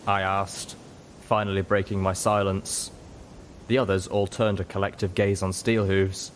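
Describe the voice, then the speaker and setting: A man narrating a dramatic first-person story in a measured, grave and emotive manner. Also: sits low, a little hiss or hum. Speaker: audiobook narrator.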